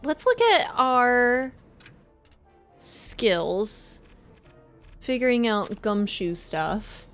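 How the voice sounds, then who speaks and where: A woman's voice: a little high, sing-song, very slow, pauses often, a bit bright. A woman giving casual livestream commentary on a game and reading its text aloud. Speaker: game streamer. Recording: faint music behind the voice. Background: game music.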